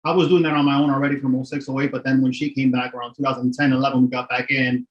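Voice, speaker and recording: A man's voice: mid-range voice, flat delivery, racing. Matter-of-fact middle-aged man, dull audio. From Miami, Florida. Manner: candid, matter-of-fact, repeats "right?". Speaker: guest, real estate investor. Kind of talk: casual interview talk.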